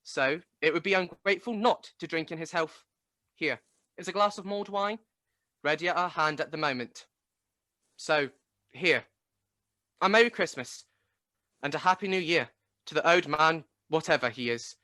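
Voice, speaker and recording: A man's voice: a little high, moderate pace, long pauses, sharp tone, no echo, clean studio sound. A man playing a cheerful, forgiving young husband in a radio play, jovial and good-natured. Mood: merry toasting.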